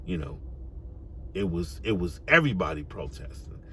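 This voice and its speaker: A man's voice: sits low, sing-song, normal speed, pushed and bright, a little hiss or hum. An American man giving an opinionated, informal monologue, emphatic and slangy, repeating phrases to drive points home. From United States. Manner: casual street slang. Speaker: online commentator.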